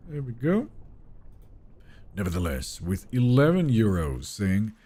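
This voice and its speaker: A man's voice: fairly low pitch, wildly swooping pitch, unhurried, warm tone, husky. A young man whose first language is Finnish, casually chatting with viewers and reading their messages during a live game stream from a home setup. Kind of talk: live gameplay stream, reading chat aloud.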